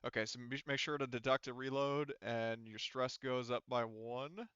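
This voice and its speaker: A man's voice: mid-range voice, steady tone, normal speed, fairly bright tone, smooth, no echo, lo-fi sound. A man speaking casually and explanatorily as game master of a tabletop roleplaying game on an online call.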